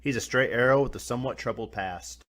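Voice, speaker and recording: A man's voice: medium pitch, quick pace, fairly bright tone. A man hosting a scripted video review, talking in a colloquial, mildly profane way. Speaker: reviewer, host.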